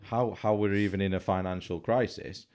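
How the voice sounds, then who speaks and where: A man's voice: deep voice, steady tone, normal speed, darkish tone. A man co-hosting a casual podcast, mostly agreeing in short replies with the occasional longer point. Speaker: podcast co-host. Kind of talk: casual chat.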